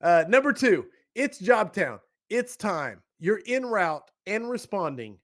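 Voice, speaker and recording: A man hosting a casual podcast interview, enthusiastic and playful, laughing often. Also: sits high. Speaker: podcast host.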